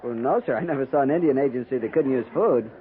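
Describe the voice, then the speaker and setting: An American actor playing an eager, respectful junior cavalry lieutenant in a 1950s radio western, earnest and a little hesitant. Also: male voice, medium pitch, fast, narrow, tinny audio, lightly acted. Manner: polite, earnest, slightly hesitant. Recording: thin, noisy 1950s radio recording.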